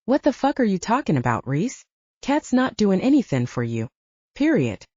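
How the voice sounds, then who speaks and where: A woman's voice: mid-range voice, wildly swooping pitch, moderate pace, a little mellow, no room sound, muffled recording. A woman voicing a hot-headed, foul-mouthed male werewolf soldier who threatens and growls in an audiobook. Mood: angry, defiant. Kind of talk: audiobook character dialogue.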